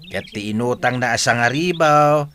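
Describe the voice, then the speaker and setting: A man's voice: mid-range voice, normal speed, a bit bright, a little hiss or hum, close to an everyday voice. A man with an Ilocano accent playing blunt village men in a radio comedy drama, emphatic and colloquial. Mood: concerned, gossiping.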